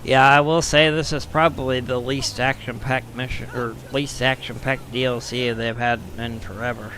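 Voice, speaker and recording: A hoarse man streaming a game live, his voice worn and scratchy. He chats casually at a mid-range pitch, grumbling and tossing off wry asides, with faint noise in the background. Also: little pitch movement, moderate pace, bright, forceful tone. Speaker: gaming streamer. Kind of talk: live gameplay commentary.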